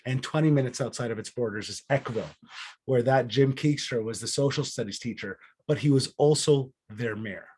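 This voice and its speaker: A middle-aged man from Israel who grew up in Canada, giving an earnest personal storytelling talk as a guest speaker, with deliberate, dramatic pauses. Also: medium pitch, a little mellow, breathy edge. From born in Israel, grew up in Alberta, Canada.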